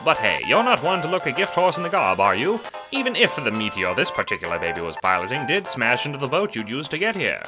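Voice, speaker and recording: A man's voice: medium pitch, big pitch swings, fast talker, hardly any pauses, music in the background. A man narrating a scripted second-person story in a theatrical, wry manner. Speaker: narrator of an audio drama.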